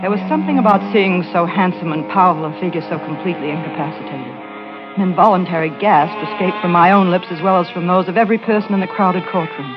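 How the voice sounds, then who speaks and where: A woman's voice: fairly low pitch, fast, natural voice. An actress playing a frightened, haunted woman on trial who narrates her nightmare in a 1940s radio drama. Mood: stunned, bitter. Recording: muffled old radio recording, music behind.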